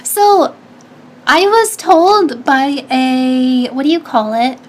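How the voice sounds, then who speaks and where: High-pitched, slightly nasal woman streamer. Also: slow. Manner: chatty, advice-giving, lots of restarts and fillers. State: stuffy nose. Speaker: streamer answering chat. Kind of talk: casual chat.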